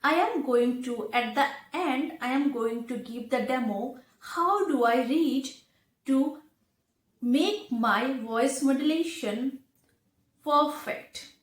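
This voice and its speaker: A woman who speaks English as a second language, giving an instructional talk to learners in deliberate short phrases with pauses. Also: high voice. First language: not English. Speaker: English teacher, video presenter. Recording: echoey room.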